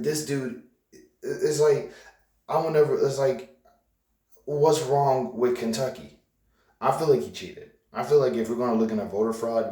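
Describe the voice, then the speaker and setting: A man's voice: medium pitch, warm tone. A young man, vlogging in a casual, rambling way with lots of fillers and restarts. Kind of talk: casual vlog rant. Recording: echoey room, voice from far off.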